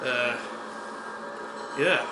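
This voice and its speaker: A man with a West Yorkshire accent hosting a casual beer-tasting vlog, chatty and unhurried, with plenty of ums and uhs. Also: mid-range voice. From West Yorkshire, England. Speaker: beer reviewer, channel host. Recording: echoey room with air-conditioning hum.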